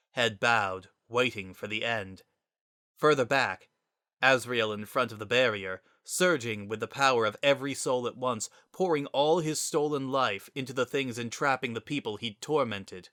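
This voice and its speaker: Bright male story narrator, dry recording. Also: medium pitch, moderate pace, no room sound.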